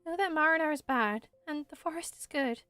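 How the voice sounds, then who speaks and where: A woman voicing a gentle, caring young woman from a game, soft and reassuring though weakened. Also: sits high, brisk, no room sound, soft background music. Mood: gentle, earnest. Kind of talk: fan voice-over of game dialogue.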